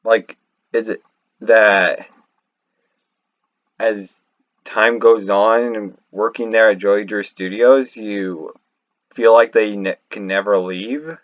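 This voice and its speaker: A man giving casual, unscripted commentary as a video host, halting and rambling as he goes. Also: fairly low pitch, fairly even intonation, no echo. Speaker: video host reacting to game lore. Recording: slightly muffled, dry home microphone.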